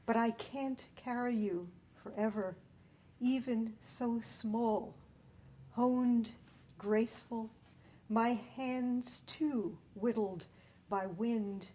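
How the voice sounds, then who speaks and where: A woman reading her own poem at a live event, in measured, short, deliberate phrases. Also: on the high side, dark tone. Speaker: poet reading own work. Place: live public reading at a library. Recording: noticeable room noise.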